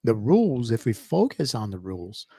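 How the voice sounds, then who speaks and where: A middle-aged Brazilian professor with a Brazilian Portuguese accent, co-hosting an educational podcast in a hesitant, filler-heavy manner. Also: male voice, medium pitch, sing-song, moderate pace, creaky, mellow, husky, no room sound. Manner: hesitant, many fillers and restarts. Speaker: co-host, professor. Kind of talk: casual educational podcast chat.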